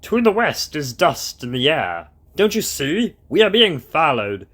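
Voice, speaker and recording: Man playing a sharp, high-voiced anxious villain. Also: big pitch swings, unhurried, heavily acted. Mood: nervous, irritated. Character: anxious, irritable villainous prince and traitor on the run. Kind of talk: amateur audio drama acting.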